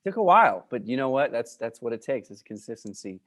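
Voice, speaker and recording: A man's voice: mid-range voice, very animated, a little fast, a bit bright. Playful man hosting a casual interview. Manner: playful, theatrical reactions. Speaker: interview host, realtor. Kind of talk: casual, slangy interview chat.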